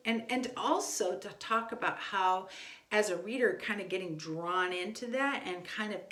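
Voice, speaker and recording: A woman's voice: mid-range voice, fairly bright tone. A woman giving casual, conversational instructional advice as a homeschooling parent. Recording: echoey room.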